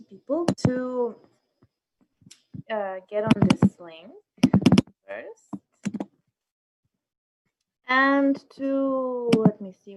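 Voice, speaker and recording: A woman with a fairly high voice leads a workshop. She presents informally and hesitantly, often searching for her next words. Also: highs cut off, noisy background. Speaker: workshop host and artist.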